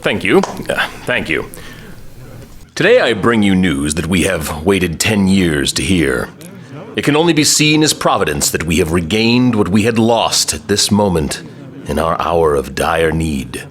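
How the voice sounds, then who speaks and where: A pompous fantasy general with a very deep voice. His delivery is grandiose and widely varied in pitch, a formal public oration in an audio drama. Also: sing-song, unhurried, a bit bright, heavily acted. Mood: proud, solemn. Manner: grandiose, oratorical. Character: pompous male lord general addressing a crowd.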